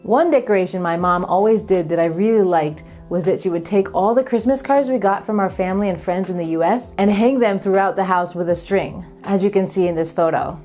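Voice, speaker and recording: A woman's voice: medium pitch, darkish tone. A woman in her thirties with an American accent, telling personal stories in an upbeat, friendly way as a vlogger. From Japan, raised by American parents. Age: 30s. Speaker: vlogger, channel host. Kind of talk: personal storytelling vlog.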